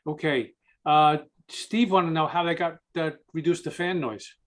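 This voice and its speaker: A man moderating a question session, relaying questions in a casual, somewhat halting way. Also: a little high. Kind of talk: relaying audience questions informally.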